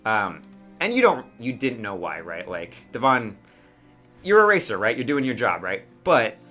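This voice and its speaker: A man improvising narration as game master of a tabletop game, conversational and halting, often checking in with the players. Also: mid-range voice, very animated, sharp tone. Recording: music behind the voice.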